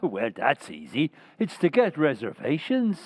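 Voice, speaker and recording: A man playing a slow, dopey barfly. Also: fairly high pitch, huge pitch swings, breathy edge, heavily acted. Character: dim-witted, cheerful male barfly. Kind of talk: old-time radio comedy acting.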